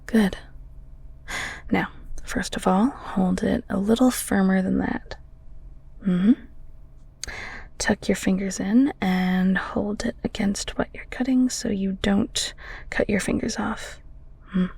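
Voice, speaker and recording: Woman playing a husky, creaky-voiced flirty ghoul chef. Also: mid-range voice, fairly even intonation, dry recording, heavily acted. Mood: teasing, bossy. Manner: soft, breathy, halting, teasing. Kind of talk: intimate audio roleplay, spoken to the listener.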